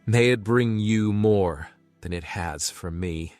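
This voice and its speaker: A man's voice: fairly low pitch, slow, darkish tone, clean tone, no echo, studio quality, faint music behind. An actor playing a weary, resigned man stricken with plague in an audio drama, speaking solemnly.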